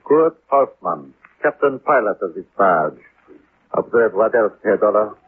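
An actor playing a formal, insistent German police official in a 1950s radio drama, with a German accent and stilted, inverted phrasing. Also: male voice, lowish voice, expressive, a little slow. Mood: grave. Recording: muffled, noisy 1950s radio recording.

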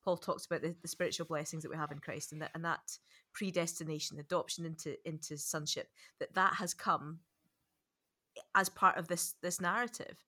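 A woman's voice: low voice, fairly even intonation, a little fast, pushed and bright. A woman co-hosting a podcast, reflecting casually and emphatically, repeating words as she thinks her way through. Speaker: podcast co-host.

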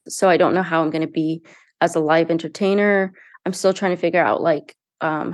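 A woman's voice: on the low side, darkish tone, dry recording, studio quality. A young woman, a singer-songwriter, talking earnestly and casually about her music in an interview, with frequent fillers and restarts. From United States. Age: young adult.

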